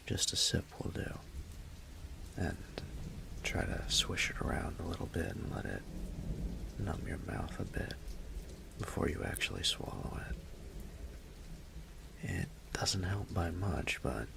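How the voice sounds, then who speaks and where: Man acting a gravelly low Southern roommate, teasing. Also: background noise, heavily acted. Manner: drawling, teasing, half-whispered. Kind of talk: intimate roleplay audio acting, close and conversational.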